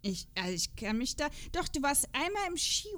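High-voiced woman chatting casually. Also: sing-song, brisk, pushed and bright. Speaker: podcast co-host. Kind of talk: casual chat.

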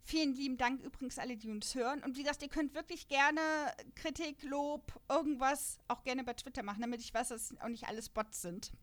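A woman's voice: sits high, a little fast, few pauses, fairly bright tone. A woman hosting a casual podcast chat about radio dramas, chatty and laughing often as she talks. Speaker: podcast host.

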